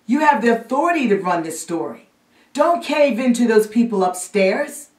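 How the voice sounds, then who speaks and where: A woman's voice: a little high, lively intonation, normal speed, darkish tone, pitched above the performer's own voice, lightly acted. A woman playing an indignant newspaper reporter pleading emphatically with an editor in a theatrical monologue. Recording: roomy echo, distant microphone.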